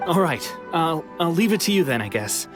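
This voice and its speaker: A man's voice: fairly high pitch, sing-song, a little fast. An actor playing a weary, resigned man in a video game who sighs and hesitates as he speaks. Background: game background music.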